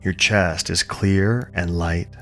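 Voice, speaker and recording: A man with a low voice leads a guided yoga meditation. He is calm and soothing, giving gentle instructions in measured phrases with space between them. Faint music plays behind his voice. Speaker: yoga and meditation teacher leading a guided practice.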